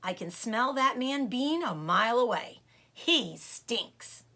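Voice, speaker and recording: A woman's voice: a little high, lively intonation, slow, bright, forceful tone, exaggerated voice. A woman voicing a wary, confident father fox in a children's storybook.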